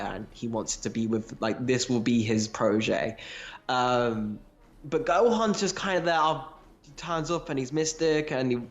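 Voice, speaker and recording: Man talking through opinions, thinking aloud. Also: mid-range voice. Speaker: podcast co-host. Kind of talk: casual discussion, opinionated analysis.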